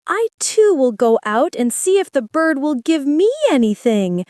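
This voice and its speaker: A woman voicing a sorrowful young girl who speaks softly and hopefully. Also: high voice, sing-song, measured pace, no echo, clean studio sound.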